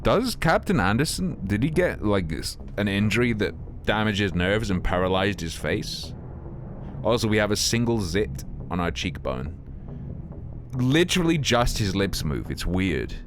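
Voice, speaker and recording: A man's voice: lowish voice, sing-song, normal speed, noisy background. A man streaming a game with casual, joking commentary and crude, sardonic quips. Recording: game music behind.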